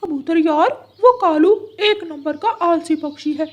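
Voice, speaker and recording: Woman voicing a high, exclamatory cartoon parrot. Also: unhurried, dull audio. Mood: indignant. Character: small friendly parrot, generous but indignant. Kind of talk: Hindi cartoon story dialogue.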